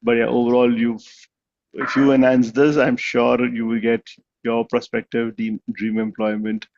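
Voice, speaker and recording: A man's voice: medium pitch, level tone, moderate pace, darkish tone, highs cut off. A man giving conversational spoken feedback at a club meeting over a video call, with many restarts and fillers. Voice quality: gravelly. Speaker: meeting evaluator.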